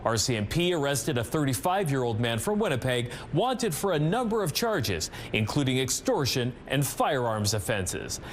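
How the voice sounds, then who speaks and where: A man's voice: medium pitch, very animated, moderate pace, hardly any pauses, slight background noise. A man with a Canadian accent reading scripted news as a television anchor. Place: TV news studio.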